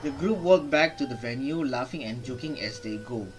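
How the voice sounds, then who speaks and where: A man's voice: mid-range voice, expressive, normal speed, background noise. A young adult man giving casual reaction commentary as a gaming streamer. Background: game music.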